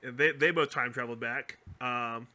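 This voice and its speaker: A man chatting casually as a podcast co-host, explaining fan theories in an animated, geeky way and often correcting himself. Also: mid-range voice, normal speed.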